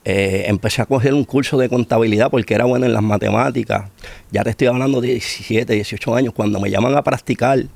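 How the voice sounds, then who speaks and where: A man's voice: medium pitch, fast talker, vocal fry, darkish tone, no echo. A middle-aged man with a Puerto Rican accent telling his personal testimony in conversation, repeating phrases for emphasis. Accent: Puerto Rican Spanish. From Puerto Rico. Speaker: interview guest giving testimony.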